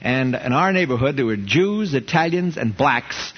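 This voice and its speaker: A man's voice: mid-range voice, animated, moderate pace, husky. A man from Brooklyn, earnestly answering listener questions in a conversational Bible study talk. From Brooklyn, New York. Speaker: ministry leader answering questions. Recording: dull, reverberant radio broadcast.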